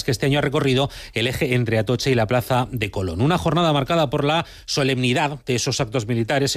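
A man from anchoring a radio news bulletin in a measured, formal read. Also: medium pitch, no echo, studio quality. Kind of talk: scripted news reading. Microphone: studio microphone.

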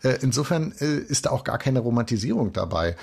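A man gives a conversational film review, retelling scenes with relish. His pitch is medium and moves widely, and the voice has a husky grain. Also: expressive, a little fast, a little mellow. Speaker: film critic.

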